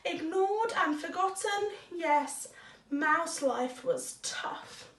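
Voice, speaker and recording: A roomy recording of a woman reading a children's story aloud, a little away from the microphone. Her voice is high and swings widely, with unhurried, deliberate phrasing and dramatic emphasis. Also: animated, a bit bright. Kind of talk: expressive children's story narration. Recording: roomy echo, distant microphone.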